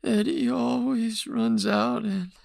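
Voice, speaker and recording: A man acting as a dying, gunshot fugitive in an audio drama, bitter and dazed, his raspy voice weak and fading. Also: very high voice, steady tone, slow pace, no room sound, clean studio sound, exaggerated voice.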